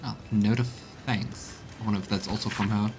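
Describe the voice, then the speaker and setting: A man's voice: on the low side, steady tone, fairly quick, a little mellow, soft background music. A man streaming a game, reading texts aloud and musing on them in gameplay commentary. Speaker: let's play streamer.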